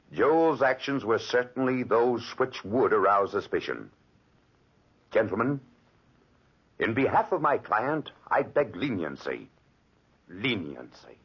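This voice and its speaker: An actor playing an earnest, formal defense lawyer with solemn oratory in a 1940s radio drama. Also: male voice, on the low side, breathy edge, exaggerated voice. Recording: muffled old 1940s radio recording.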